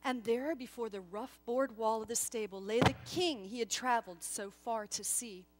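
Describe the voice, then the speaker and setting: A woman storyteller giving a dramatic reading, expressive and deliberate, speaking directly to her listeners. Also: on the high side, slight background noise, rough recording.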